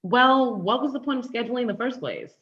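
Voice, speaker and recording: A woman's voice: on the high side, a little fast, muffled recording, lightly acted. A woman playing a confrontational, wounded ex-girlfriend in a conversational table read, pointed and sarcastic. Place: online live stream table read.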